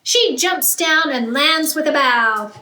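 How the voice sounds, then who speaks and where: A woman with a high, bright voice narrates a children's picture book. She reads expressively, leaning on key words as a teacher would. The room is echoey, with faint noise. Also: lively intonation, slow pace. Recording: roomy echo, faint background noise.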